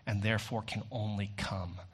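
A man preaching a sermon in an earnest, deliberate manner with rhetorical phrasing. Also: fairly low pitch, steady tone, a bit bright, breathy edge.

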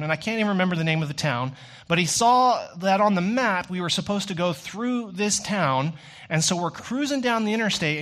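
A man's voice: high voice, fairly bright tone. A man preaching a sermon in a conversational storytelling way, speaking directly to his listeners.

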